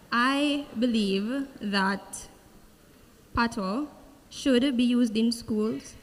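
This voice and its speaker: A young Jamaican woman, a pageant contestant, giving a slow, earnest answer on stage. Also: a little high, fairly bright tone. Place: large event hall. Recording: long hall reverb from a stage mic.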